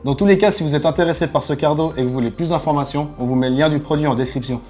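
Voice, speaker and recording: A man's voice: a little high, very fast, a little mellow. A man co-hosting a motorcycle channel, giving a casual and enthusiastic product review. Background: background music.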